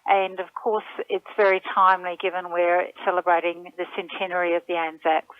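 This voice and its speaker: A woman's voice: fairly low pitch, level tone, brisk, no room sound. Woman on a tinny phone line. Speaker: member of parliament. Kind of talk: spoken statement to media. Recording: tinny, narrow telephone line.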